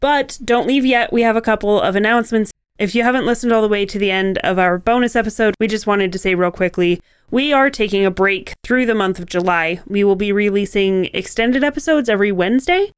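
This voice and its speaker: A woman with a clean, smooth voice of middling pitch, recorded very dry. She talks as a podcast co-host, explaining storytelling points conversationally. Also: fairly quick, few pauses, no room sound.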